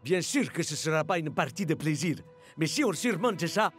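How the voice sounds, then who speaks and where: Un comédien qui joue un jeune capitaine d'équipe de football motivant dans un dessin animé. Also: male voice, a little high, expressive, normal speed, natural voice. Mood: rousing. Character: upbeat, rousing young male football team captain. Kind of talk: animated cartoon dub. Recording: music behind the voice.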